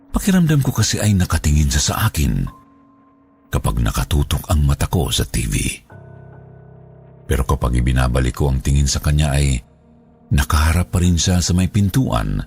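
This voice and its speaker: Deep-voiced Filipino man telling horror stories. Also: gravelly, a little mellow, slightly rough. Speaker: horror story narrator. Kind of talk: scripted first-person horror storytelling. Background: background music.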